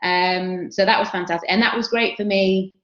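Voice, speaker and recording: A woman's voice: medium pitch, fairly even intonation, moderate pace. A young woman with a Yorkshire accent, chatting as a guest speaker about her career, self-deprecating and full of fillers. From Yorkshire, England. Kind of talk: casual career talk on a video call. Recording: roomy, dull video-call audio.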